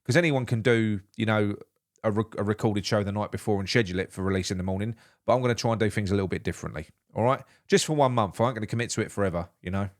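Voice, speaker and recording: Man, blocked nose, nasal, lowish voice, opinionated solo football show host, rambling restarts. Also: rapid-fire. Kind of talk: solo live morning show chat.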